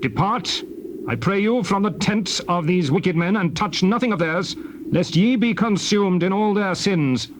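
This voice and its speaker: An earnest prophet, declaims in a fairly high male voice. His delivery is theatrical and urgent, as in a scripture drama, over clear background noise. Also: expressive, a little slow. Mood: urgent warning. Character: Moses, earnest, urgent Hebrew prophet and leader. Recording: noisy old tape recording.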